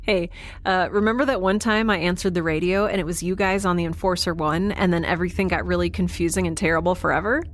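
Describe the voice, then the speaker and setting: A defiant young woman in space played by a woman talks in a lowish voice with casual, sardonic flippancy. The delivery is wry audio drama acting. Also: fairly even intonation, quick pace, a little hiss or hum, lightly acted. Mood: wry, reluctant.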